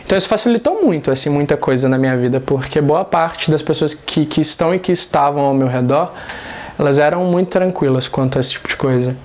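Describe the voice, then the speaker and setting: A man, a journalism student, speaking in a documentary interview in a relaxed, unhurried and self-deprecating way. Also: a little high.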